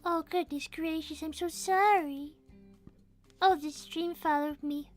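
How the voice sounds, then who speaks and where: A woman voicing an excitable, easily indignant but polite young rabbit girl in an improvised roleplay stream. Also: very high voice, a little slow, soft background music. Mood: polite, subdued. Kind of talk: improvised character roleplay livestream.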